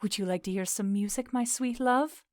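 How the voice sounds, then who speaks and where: A woman's voice: mid-range voice, moderate pace, no room sound, studio quality, close to an everyday voice. An actress playing a tender yet commanding fairy queen in an audio drama of modernized Shakespeare. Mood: adoring.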